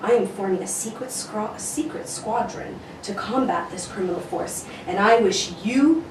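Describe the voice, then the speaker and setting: Lady doing solemn masked spy chief for old radio play, formal n slow to the point, echoey and hissy. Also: mid-range voice, animated, close to an everyday voice. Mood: grave, urgent. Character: grave masked secret government official recruiting a hero. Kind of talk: amateur table read of 1940s radio serial. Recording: roomy, distant mic, clearly noisy.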